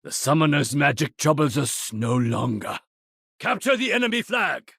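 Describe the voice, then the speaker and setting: Raspy, forceful warrior, high-voiced. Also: male voice, animated, normal speed, no echo, studio quality, heavily acted. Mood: urgent, commanding. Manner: forceful, commanding. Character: urgent, stern warrior calling out orders. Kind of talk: video game battle callouts.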